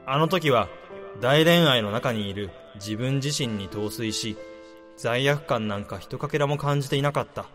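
A man's voice: mid-range voice, moderate pace, a bit bright, long reverb tail, soft background music, close to an everyday voice. An actor playing a young male section chief with a guilty conscience in a radio drama. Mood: guilt-ridden. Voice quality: breezy at first, then anguished inner monologue.

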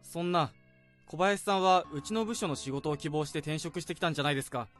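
A man's voice: fairly high pitch, quick pace, fairly bright tone, soft background music, lightly acted. An actor playing an earnest young male office junior who keeps questioning, in a radio drama.

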